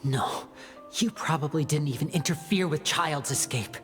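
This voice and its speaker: A woman's voice: deep voice, moderate pace, a bit bright, long reverb tail, soft background music. A woman voicing an earnest, defiant young man, a magician, in an anime game, intense and accusing. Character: earnest, defiant young male magician protecting his siblings.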